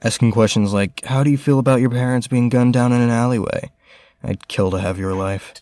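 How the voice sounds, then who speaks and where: A man's voice: mid-range voice, fairly even intonation, fairly quick, a little mellow, dry recording, natural voice. A young man playing a serious, reserved rich teenage boy in an audio drama, speaking in an earnest, understated and slightly hesitant way. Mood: bitter, weary.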